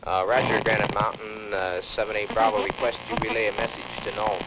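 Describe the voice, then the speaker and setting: A man with a fairly low voice speaks as a pilot on a two-way radio. His calls are halting and procedural. The old recording is dull, with clear noise behind him. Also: level tone. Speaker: small-plane pilot on radio. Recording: dull, noisy 1960 aircraft radio sound.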